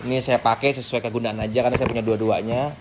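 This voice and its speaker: A man's voice: medium pitch, level tone, fast. A man giving a casual hands-on tutorial, informally talking through each step as he works. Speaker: tutorial host. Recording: clearly noisy background.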